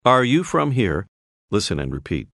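A man narrating a scripted language course, clearly prompting the listener to repeat phrases. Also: lowish voice, sing-song, a little slow, fairly bright tone, dry recording. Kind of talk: scripted audio lesson instructions. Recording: dry studio voice-over.

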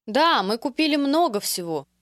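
High-voiced woman enunciating phrases carefully. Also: sing-song, moderate pace, no echo, studio quality. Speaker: native-speaker model in a course. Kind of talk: clear model pronunciation for repetition.

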